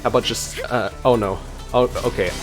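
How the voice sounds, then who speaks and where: A man's voice: on the low side, fairly even intonation, brisk. Guy doing casual gameplay reactions, talking to himself over game music. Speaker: gamer playing and reacting. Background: game music and battle sounds.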